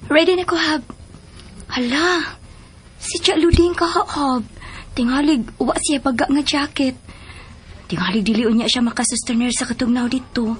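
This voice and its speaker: High-voiced, friendly young wife. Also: female voice, moderate pace, pauses often, breathy edge. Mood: affectionate. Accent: Cebuano Filipino. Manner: friendly, chatty. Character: cheerful, affectionate young married woman. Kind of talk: Philippine radio drama acting.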